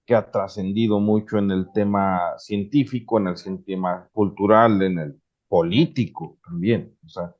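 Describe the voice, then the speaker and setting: A man's voice: sits low, fairly even intonation, unhurried, a little mellow, highs cut off. A man from Morelos with a Mexican Spanish accent, a podcast guest talking earnestly with many restarts. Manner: earnest, with frequent restarts and hesitations. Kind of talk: casual interview conversation.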